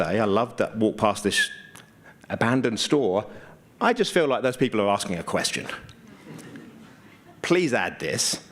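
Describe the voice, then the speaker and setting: A man giving an informal conference presentation, explaining things conversationally with dry, understated humor. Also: fairly low pitch. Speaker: conference speaker, GIS mapping consultant.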